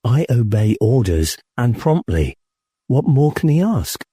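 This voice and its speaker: A man's voice: medium pitch, sing-song, unhurried, dark tone, slightly rough, no room sound, voice pushed up. A man voicing a dutiful but stubborn, indignant young male soldier in an audiobook. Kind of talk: audiobook character acting.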